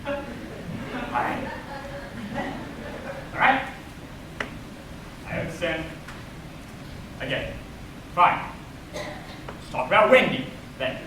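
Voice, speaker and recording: A man's voice: a little high, big pitch swings, extremely slow, pauses often, full character voice. A man playing a dim, boastful, self-absorbed father in a stage comedy, pompous and theatrical. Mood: sheepish, oblivious. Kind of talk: projected amateur stage comedy acting. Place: stage in an echoey hall. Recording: echoey hall, distant mic, noisy.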